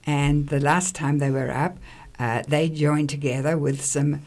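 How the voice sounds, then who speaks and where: A woman's voice: low voice, fairly even intonation, a little slow, a little mellow. Woman in her late seventies, conversational. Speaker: interview guest. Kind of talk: conversational explanation.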